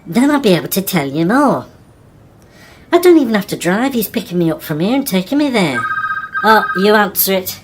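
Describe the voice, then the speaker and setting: Sardonic, sharp-tongued woman, played by an actress. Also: mid-range voice, sing-song, moderate pace, noisy background, natural voice. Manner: dry, pointed, colloquial. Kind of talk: audio drama acting.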